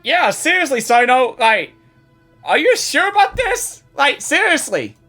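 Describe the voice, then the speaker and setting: A woman with a husky voice giving casual, excitable, exclamatory reactions as a game streamer. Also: sits high, a little slow, soft background music. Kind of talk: casual spontaneous reaction commentary.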